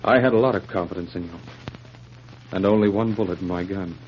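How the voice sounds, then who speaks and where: A calm and resolute Mounted Police sergeant, played by a male actor, speaking in measured, firm lines. It is vintage radio drama, muffled and with some noise. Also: sits low, close to an everyday voice. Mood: reassuring. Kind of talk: old-time radio drama acting.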